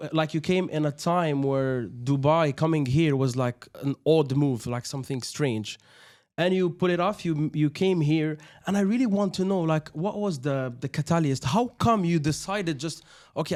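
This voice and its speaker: A man's voice: on the high side, darkish tone. A man from Algeria hosting a podcast, asking eager questions with many fillers and restarts. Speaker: podcast host. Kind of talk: conversational interview.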